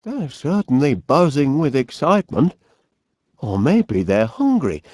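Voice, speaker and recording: An actor playing a cheery, absent-minded middle-aged inventor with a Northern English accent, bumbling and chatty in an animated comedy game. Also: male voice, medium pitch, measured pace, husky, no room sound, studio quality. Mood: excited. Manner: bumbling, chatty, hesitant, mild exclamations.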